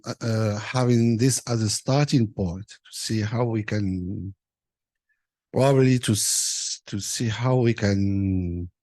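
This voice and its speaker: A man offers an unscripted comment at a conference session. His voice is relaxed and medium in pitch, and he speaks slowly, often repeating himself as he looks for the phrasing. The sound is dry. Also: no echo. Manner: hesitant, repeats and rephrases. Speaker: meeting participant giving a comment.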